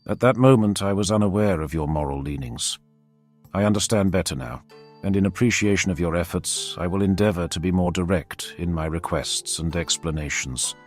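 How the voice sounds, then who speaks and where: A man voicing a cold, calculating ruler who speaks smoothly and matter-of-factly. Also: sits low, moderate pace, soft background music, pitched below the performer's own voice.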